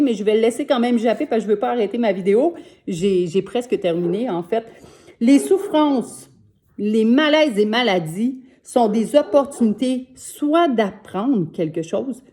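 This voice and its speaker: Québécois woman coach, sixties, mellow warm tone, earnest and repetitive. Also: fairly high pitch. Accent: Québécois French. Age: 60s. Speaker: life coach. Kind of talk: motivational self-help talk to camera. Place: home.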